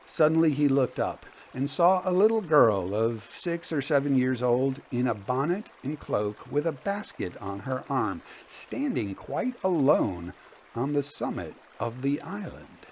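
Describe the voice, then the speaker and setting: Male storyteller for Victorian ghost tales, measured and deliberate, with lively rises and falls in pitch. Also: a little hiss or hum. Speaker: host and reader of a ghost story podcast.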